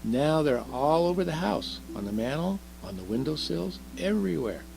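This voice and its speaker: A man voicing a curious, earnest little girl in a children's storybook, soft and chatty, asking and explaining eagerly. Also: on the high side, big pitch swings, normal speed, vocal fry, soft background music, voice pushed up, low-quality recording. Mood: proud, chatty. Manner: eager questioning, explaining carefully. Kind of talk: children's storybook read aloud.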